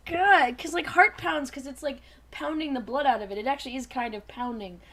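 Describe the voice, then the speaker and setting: A woman's voice: high voice, brisk, fairly bright tone. A playful, teasing woman bantering casually as a podcast co-host during a group reading, often giggly.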